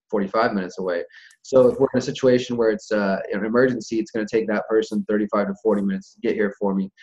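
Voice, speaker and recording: A man's voice: mid-range voice, darkish tone. An American man hosting a group conference call, explaining things informally and step by step in a conversational way. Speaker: host of a community organizing conference call. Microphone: conference call audio. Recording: dull, lo-fi conference call audio.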